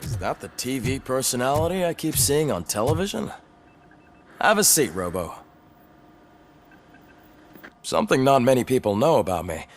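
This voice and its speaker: Actor as an animated, affable man. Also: mid-range voice, normal speed, pauses often, a little hiss or hum, lightly acted. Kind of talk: video game acting.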